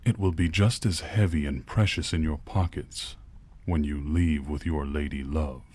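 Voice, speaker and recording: A man playing a covetous demon lord of greed, a taunting, ominous tempter in an ASMR roleplay, with a very deep husky voice. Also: steady tone, unhurried, a little mellow. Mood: tempting. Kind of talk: ASMR roleplay acting, theatrical villain.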